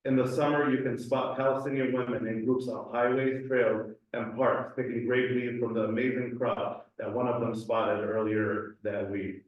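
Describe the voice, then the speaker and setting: A young man from Cleveland reads a heartfelt personal essay in an even, measured way. He is recorded from a distance in a very echoey hall. The sound is dull and roomy. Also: mid-range voice. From Cleveland, USA. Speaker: community speaker. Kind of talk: reading a personal essay aloud. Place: community event hall.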